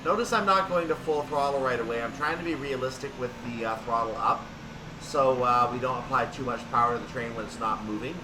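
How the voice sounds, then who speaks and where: A man's voice: mid-range voice, brisk. A man giving casual, methodical gameplay commentary, narrating each action step by step as he goes. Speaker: gaming video host. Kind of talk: casual live train simulator commentary. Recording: distant mic with loud steady background noise.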